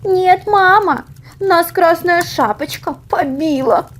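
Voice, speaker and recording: A woman's voice: very high voice, slow pace, voice pushed up. A woman voicing naughty little goat kids from a storybook, childish, whiny and sing-song as they play innocent. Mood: whining, complaining. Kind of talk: storybook character reading.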